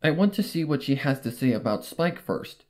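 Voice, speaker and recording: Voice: medium pitch, expressive, normal speed. A narrator reading a horror story aloud in a dramatic, first-person style. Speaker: fan fiction narrator.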